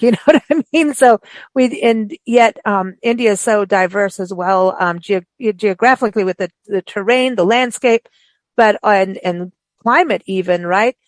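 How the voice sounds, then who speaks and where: Woman radio host from africa, chatty and animated, middle pitch, dry close sound. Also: no room sound. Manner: chatty and animated, with frequent laughs and restarts. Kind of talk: casual interview chat.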